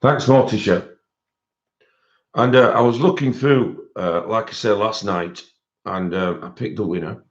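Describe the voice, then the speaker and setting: Croaky-voiced British man hosting livestream. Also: on the low side, unhurried. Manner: rambling, many fillers, greets viewers by name. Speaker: livestream host. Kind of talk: casual livestream chat. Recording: dull lo-fi stream audio.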